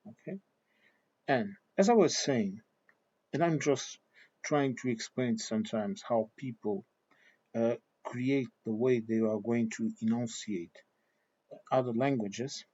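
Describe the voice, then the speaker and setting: A man from southern Portugal with a Portuguese accent, talking casually in English as a second language in a halting, digressive monologue, often checking in with a questioning okay. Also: mid-range voice, a little mellow, no echo. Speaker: vlogger giving personal commentary. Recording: dull lo-fi sound.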